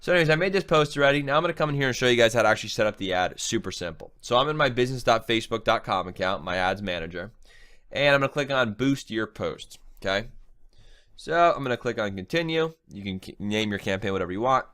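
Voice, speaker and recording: A man's voice: mid-range voice, lively intonation, fast, fairly bright tone. Nasal-sounding man teaching ad setup. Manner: casual, chatty, keeps asking "right?". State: nasal from a cold. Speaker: online marketing trainer. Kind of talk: screen-share tutorial.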